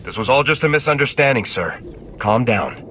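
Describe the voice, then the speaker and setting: Actor as a steady sergeant, bright forceful voice. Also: male voice, mid-range voice, slight background noise, natural voice. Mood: calm, persuasive. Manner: measured, firm, reassuring. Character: steady, dutiful male military sergeant hero. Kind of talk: video game voice acting.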